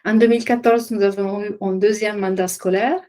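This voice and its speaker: Middle-aged lady w/ presenting her work, medium pitch, kinda hesitant, hunting for words. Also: steady tone, fairly quick, mellow. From Italian-speaking Switzerland. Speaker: occupational therapist, trainer and business founder presenting. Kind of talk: informal web conference presentation.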